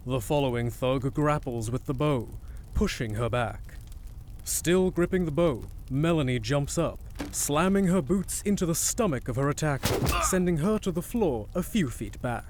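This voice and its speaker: A man's voice: fairly high pitch, expressive, normal speed, darkish tone, slight background noise, natural voice. A man reading dramatic scripted narration for an audio drama as its narrator.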